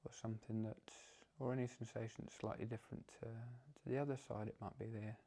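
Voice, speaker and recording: Gravelly, even-toned man guiding meditation. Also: lowish voice. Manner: calm, unhurried, with long pauses between phrases. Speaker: meditation teacher. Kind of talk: guided meditation.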